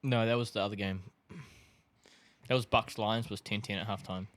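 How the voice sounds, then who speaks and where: A man's voice: fairly low pitch, fairly even intonation, moderate pace. A man hosting a casual sports chat, swearing and bantering as he goes. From New Zealand. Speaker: podcast host.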